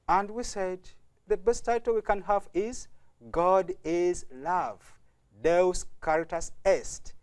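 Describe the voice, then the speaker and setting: Ugandan youth music group member with a higher voice who answers an interviewer politely and earnestly. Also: male voice, darkish tone. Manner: polite, earnest, often says thank you. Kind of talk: studio interview guest. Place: TV studio.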